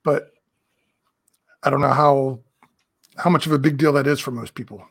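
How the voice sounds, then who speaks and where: A man's voice: medium pitch, fairly even intonation, normal speed, creaky, slightly rough. A man co-hosting a casual podcast from his basement workshop at home, thinking aloud in an easygoing way. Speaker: podcast co-host. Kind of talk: casual hobby podcast chat.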